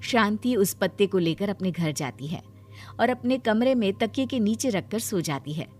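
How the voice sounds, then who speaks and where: A female narrator tells a children's moral story from a script. Her voice sits at a medium pitch, and faint music plays underneath. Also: expressive, normal speed, a little mellow.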